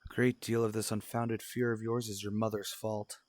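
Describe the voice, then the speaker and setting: A man playing a stern, demanding father in an old-style radio drama, speaking formally and with measured admonishment. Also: lowish voice, flat delivery, darkish tone, no room sound, close to an everyday voice. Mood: stern, disappointed. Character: stern, demanding 1940s father scolding his young son. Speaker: voice actor.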